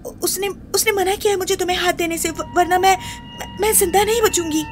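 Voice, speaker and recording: A woman's voice: very high voice, fairly even intonation, a little fast, soft background music. A woman playing a guilt-ridden, terrified and defiant ghost in a horror audio drama, stammering and pleading tearfully. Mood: frightened. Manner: stammering, repeating words, pleading.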